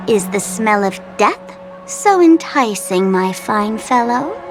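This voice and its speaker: A woman's voice: on the high side, big pitch swings, takes it slowly, low-quality recording. An actress voicing a mocking masked female trickster in a video game, teasing and cruel. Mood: taunting. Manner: teasing, sly, taunting. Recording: studio voice over game music.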